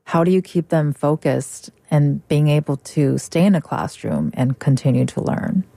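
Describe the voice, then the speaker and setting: Woman, interviewer, very low voice, dark tone, dry sound, measured questions. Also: creaky, no room sound. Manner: measured, rephrases questions at length.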